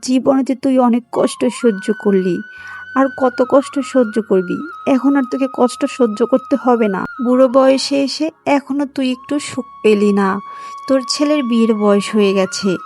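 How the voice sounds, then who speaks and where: A woman's voice: high voice, unhurried, darkish tone, soft background music. A woman voicing a bossy but kind elderly village auntie in a cartoon, insistent and scolding. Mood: coaxing. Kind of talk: scripted moral-story cartoon dialogue.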